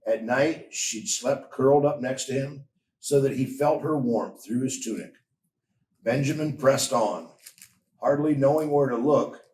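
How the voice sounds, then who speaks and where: A man narrates a storybook tale with slow, measured expression. His voice sits at an ordinary male pitch and comes from a little way off, with the room echoing around it. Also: a little mellow, slight background noise. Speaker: story reader. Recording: roomy echo, distant from mic.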